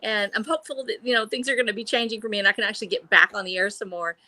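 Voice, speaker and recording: A woman's voice: mid-range voice, steady tone, very fast, sharp tone, dry recording. A woman in her early sixties chatting casually and effusively as a guest on a panel show. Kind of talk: casual chat.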